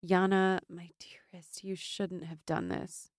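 A woman's voice: lowish voice, little pitch movement, slow, no echo, clean studio sound. A woman voicing a proud, bitter exiled warrior noblewoman in a fantasy audiobook, firm and wry. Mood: gentle, firm.

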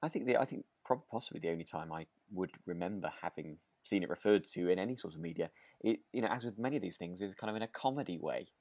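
A man with a low voice talks casually as a podcast co-host. He feels his way through sentences, rambling and restarting as he reviews a drama. The sound is dry, with very little room. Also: lively intonation, fast talker, a little mellow, no echo. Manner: hesitant, rambling, self-correcting.

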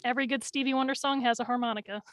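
Expressive higher-pitched woman, jokey podcast guest. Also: rapid-fire. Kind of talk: casual chat.

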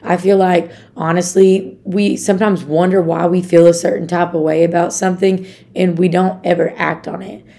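Raspy upbeat woman podcaster. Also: on the low side, level tone, normal speed, mellow. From Arkansas, USA. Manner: upbeat, chatty, addresses listeners as y'all. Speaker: podcast host. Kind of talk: casual motivational podcast.

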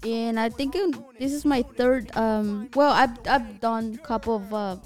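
Casting: accented woman host, fairly high voice, earnest and halting, soft music underneath. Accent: non-native English. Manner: earnest, halting, swears casually. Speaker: podcast host and producer. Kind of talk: casual chat. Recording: music playing in the background.

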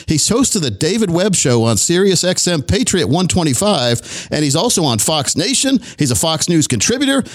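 A man hosting a talk radio interview in a chatty, folksy manner. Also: high voice. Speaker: radio show host interviewing a guest.

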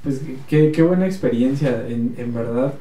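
A man's voice: medium pitch, normal speed, mellow, far from the mic. A man with a Mexican accent interviewing a guest, asking long, rambling questions with many restarts. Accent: Mexican Spanish. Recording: echoey room, voice set back from the mic.

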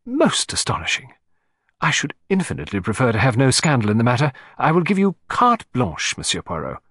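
Man putting on an excitable elderly society gentleman. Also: mid-range voice, fairly quick, husky, no room sound, clean studio sound, full character voice. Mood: eager, astonished. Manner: eager, genteel, formal.

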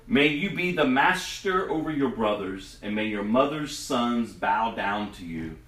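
A man voicing an old father who gives solemn, measured blessings. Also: medium pitch, animated, a bit bright, roomy sound, far from the mic, poor audio.

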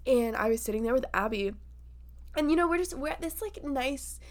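A woman's voice: high-pitched, lively intonation, a little fast. Bubbly, sniffly young woman co-host. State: congested, sniffly. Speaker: podcast co-host. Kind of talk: casual chat.

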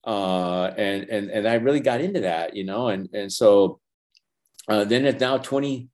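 An older man whose first language is Spanish, talking earnestly in a casual interview, halting with many restarts and fillers. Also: on the low side, a little mellow. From Los Angeles. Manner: earnest, reflective, faith-centered. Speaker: animation professor and former feature animator, guest.

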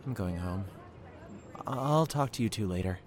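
A man's voice: lowish voice, expressive, normal speed, a little hiss or hum, lightly acted. A man playing a troubled, easily frightened young man in an audio drama. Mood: dejected.

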